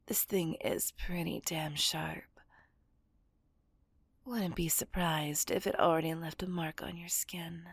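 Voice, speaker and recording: A woman playing a menacing, dominant villain kidnapper in a whispered ASMR roleplay, commanding and mocking in a breathy hush close to the microphone. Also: deep voice, fairly even intonation, takes it slowly, dry recording, heavily acted. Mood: smug, taunting.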